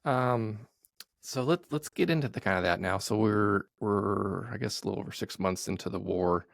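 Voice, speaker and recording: A man in his forties hosting a podcast, asking casual, halting questions into a studio mic with headphones. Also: lowish voice, creaky, no room sound. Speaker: podcast host. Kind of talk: podcast interview.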